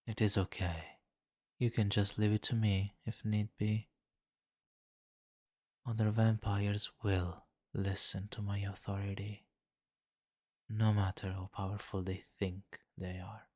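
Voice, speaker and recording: A man voicing a seductive, menacing vampire king in an intimate ASMR fantasy roleplay, slow and commanding, with a condescending, teasing amusement. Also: lowish voice, level tone, a little mellow, no echo, clean studio sound. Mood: calm, reassuring authority. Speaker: roleplay voice performer.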